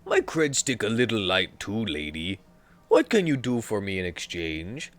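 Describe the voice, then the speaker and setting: Guy doing a loud clipped tough-guy runner, broken english, lively. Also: on the low side, measured pace, faint music behind, pitched above the performer's own voice. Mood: blunt questioning.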